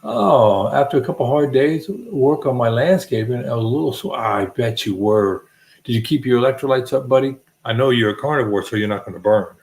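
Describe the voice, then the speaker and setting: A man's voice: mid-range voice, a little fast, darkish tone, reverberant. A man hosting a casual livestream, rambling and joking while he hums to himself and searches for things. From Alabama. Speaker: livestream host.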